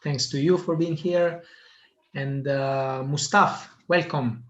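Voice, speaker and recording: A man's voice: on the high side, steady tone, slow, a bit bright. A man hosting an online meeting, hesitant, with many filler sounds. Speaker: meeting host. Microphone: video call. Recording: muffled lo-fi video call audio.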